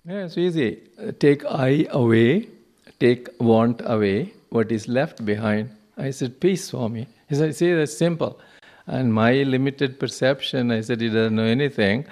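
A man whose first language is Telugu, telling a personal story in English with many pauses and fillers. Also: mid-range voice, a little mellow. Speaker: interviewee.

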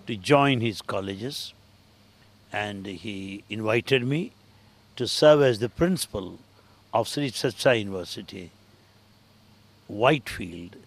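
An older man with an Indian accent and Telugu as his first language, answering interview questions at a measured pace with small pauses and fillers. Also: medium pitch. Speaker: interviewee, translator.

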